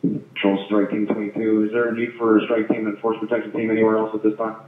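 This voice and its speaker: A man's voice: fairly low pitch, completely flat delivery, fast talker, slight background noise. A man on an emergency team radioing in with clipped, procedural reports over a police radio. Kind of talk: live emergency radio traffic. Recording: tinny, echoey police scanner radio.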